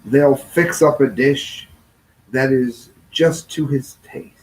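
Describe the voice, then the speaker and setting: A man's voice: medium pitch, slow, low-quality recording. A man reading a children's rhyming storybook aloud in a theatrical sing-song way, stretching phrases and sometimes stumbling over words. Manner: stumbles and corrects himself while reading. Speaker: storybook reader.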